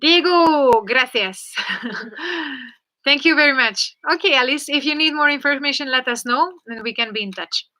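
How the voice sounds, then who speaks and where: Upbeat Hungarian-accented woman instructor, bright slightly high voice, live-stream coaching tone. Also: moderate pace. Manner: upbeat, coaching, laughs often. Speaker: jump rope instructor. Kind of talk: live online class with Q&A.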